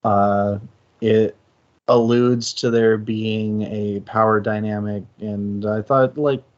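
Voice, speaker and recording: Male co-host, low register, conversational and halting, self-correcting, slightly muffled lo-fi sound. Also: steady tone, a little mellow. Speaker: co-host of a book discussion stream. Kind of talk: casual discussion.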